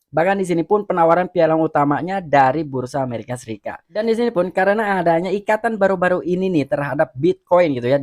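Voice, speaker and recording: Chatty man, slightly high voice. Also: darkish tone, no echo, studio quality. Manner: chatty, many fillers like "gitu ya" and "nih". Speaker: crypto YouTuber. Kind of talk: casual explainer reading and commenting on news.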